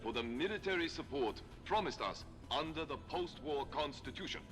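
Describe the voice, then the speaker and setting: A stern admiral delivering a measured, formal statement in a video game news clip. His tone is grave and official, with light music underneath. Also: fairly high pitch, animated, a bit bright.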